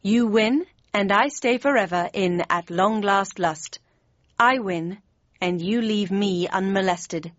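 A woman's voice: medium pitch, takes it slowly, no echo, muffled recording, lightly acted. Woman voicing a smug, sardonic storybook villain. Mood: coolly confident. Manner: sardonic, formal, clipped. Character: sardonic, impatient, smug male villain challenger. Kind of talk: audiobook character voice.

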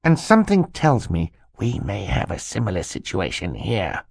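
A man voicing an animated, self-important older uncle, a jovial scientist, in an audiobook. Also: low-pitched, moderate pace, creaky, no room sound, heavily acted. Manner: animated, emphatic, theatrical. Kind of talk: audiobook character voice.

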